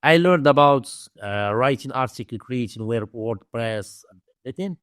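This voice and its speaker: A man's voice: medium pitch, expressive, fairly bright tone, dry recording. Moroccan-accented man in a dry-sounding interview. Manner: halting, repetitive non-native English phrasing. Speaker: interview guest, domain investing teacher. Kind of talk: conversational interview storytelling.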